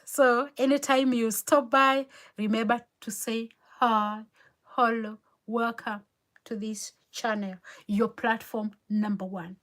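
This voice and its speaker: A Kenyan woman, native Kikuyu speaker, hosting a motivational live stream, earnest and encouraging, repeating her key phrases. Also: a little high. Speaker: motivational livestream host. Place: home in New York City.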